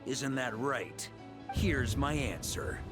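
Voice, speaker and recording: Bold, animated knight hero. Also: male voice, mid-range voice, slow, over music. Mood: confident, defiant. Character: bold, wry adult male knight hero. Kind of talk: scripted fantasy game voice acting.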